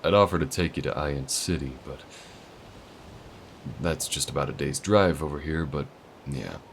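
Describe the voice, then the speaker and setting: A man's voice: extremely low pitch, moderate pace, gravelly, slight background noise. A man voicing a friendly, easygoing male alien who shows the listener around town in an audio roleplay, talking in a folksy, laid-back drawl.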